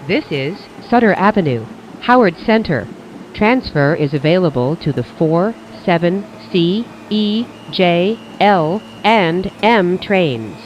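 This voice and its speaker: A train announcer reading scripted automated station announcements in a measured, formulaic way. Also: mid-range voice, animated, muffled recording, a little hiss or hum.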